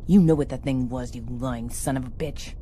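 An actress playing a hard-bitten, sardonic military sergeant in a motion comic, coarse and dry, barking orders. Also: female voice, a bass voice, normal speed, background noise. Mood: sardonic anger. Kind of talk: dramatic motion comic voice acting. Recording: voice over loud dramatic music.

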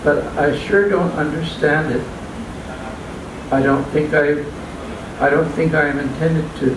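An older man reading his own poems at an open mic in a small restaurant, halting and plain, stumbling and restarting now and then. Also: on the high side, steady tone. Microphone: stand mic, filmed from a far camera. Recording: echoey room, distant mic, background hum.

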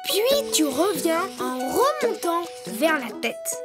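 A performer voicing a brave, cheerful little boy rabbit in a preschool cartoon, explaining things clearly and encouragingly. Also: extremely high pitch, very animated, slow, full character voice. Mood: instructive. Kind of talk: preschool cartoon voice acting, instructing. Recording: music behind the voice.

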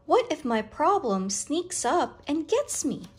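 Slow, animated woman reading to children. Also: high voice. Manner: deliberate, dramatic phrasing for young listeners. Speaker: storyteller reading a picture book to children. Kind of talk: expressive children's story read-aloud.

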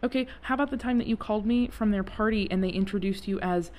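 A woman playing a protective, exasperated friend in an intimate audio roleplay, speaking in a natural, halting, emphatic way. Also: mid-range voice, darkish tone, close to an everyday voice. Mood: exasperated, impassioned. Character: protective, exasperated friend secretly in love, driving someone home.

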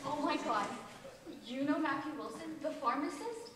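A gushing, ditzy young woman, played by a teenage girl in a stage play. The high voice is recorded from far off in a noisy auditorium. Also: animated, normal speed, very echoey. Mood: excited. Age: teens.

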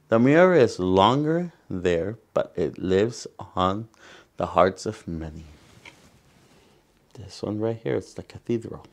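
Male storytime teacher, Salvadoran accent, low-pitched and unhurried, careful halting read of a picture book with friendly-aimed asides for kids. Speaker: children's storytime teacher and host. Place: indoor room.

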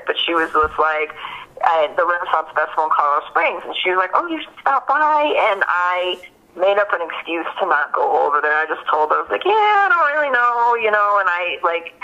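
A woman's voice: low-pitched, bright, forceful tone. A woman being interviewed over the phone, recounting casually and hesitantly with lots of filler words. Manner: hesitant, filler-heavy, frequent use of "like". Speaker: witness interviewed by an investigator. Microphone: telephone. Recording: dull, lo-fi phone call recording.

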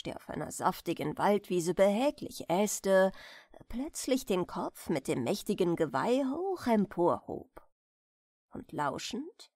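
A woman narrates a bedtime story softly and gently, taking her time with every phrase. Her pitch moves with lively expression and often settles into a creaky edge. The delivery is measured storytelling, meant for falling asleep. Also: dry recording, clean studio sound. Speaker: audiobook reader of bedtime stories.

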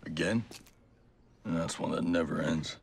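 A man's voice: rumbling low, measured pace, vocal fry, slight background noise, heavily acted. A man playing a stoic American special-forces operator in a video game, speaking in a terse, understated way. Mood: reserved, friendly. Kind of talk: video game cutscene acting.

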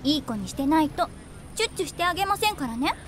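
Woman playing a high, cutesy scheming starlet. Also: animated, quick pace, fairly bright tone, slight background noise. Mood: coy. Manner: cutesy, coquettish.

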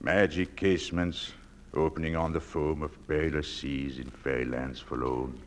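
A man playing the ghost of a gruff, blustering sea captain in a theatrical radio play. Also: very deep voice, little pitch movement, normal speed, a little hiss or hum. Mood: wistful, musing. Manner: blustery, commanding, nautical turns of speech. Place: theatre stage. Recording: reverberant hall, live stage performance.

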